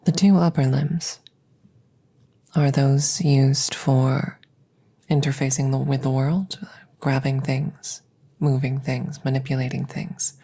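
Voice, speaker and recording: A woman playing a curious, clinical alien scientist in an ASMR roleplay, speaking softly and formally at a slow, measured pace, close to the mic. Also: rumbling low, little pitch movement, slightly rough, full character voice. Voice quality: soft, often whispered close to the mic. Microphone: close microphone.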